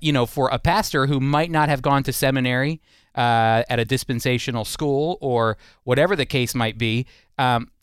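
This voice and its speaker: A man's voice: mid-range voice, clear, resonant tone, dry recording, clean studio sound. A man hosting a program, talking through issues in a conversational interview style.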